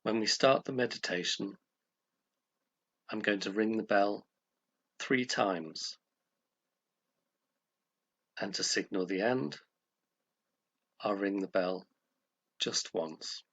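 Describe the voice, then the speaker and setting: A man leading a guided meditation, speaking in a calm, gentle, measured way with pauses between phrases. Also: fairly low pitch, husky.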